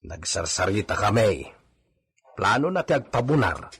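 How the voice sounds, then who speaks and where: A man with an Ilocano accent and husky voice playing a gossipy, matter-of-fact villa servant in a radio drama. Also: fairly low pitch, sing-song, moderate pace, a bit bright, exaggerated voice. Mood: confiding.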